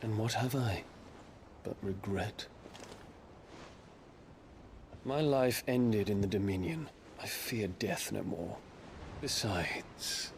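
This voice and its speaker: Solemn fallen knight for a game; fairly low, very unhurried, formal. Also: male voice, background noise. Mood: fatalistic. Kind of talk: cinematic game voice acting.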